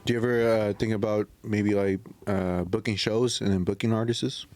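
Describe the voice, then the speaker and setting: A man's voice: on the low side, fairly even intonation, normal speed, a little mellow. A man co-hosting a podcast in casual, slangy banter, often echoing and affirming what is said. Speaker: podcast co-host.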